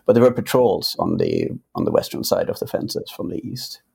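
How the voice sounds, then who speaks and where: A man, speaking calmly as an interview guest in a hotel room. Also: creaky, husky. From Germany. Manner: calm, low-key, measured. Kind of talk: interview conversation.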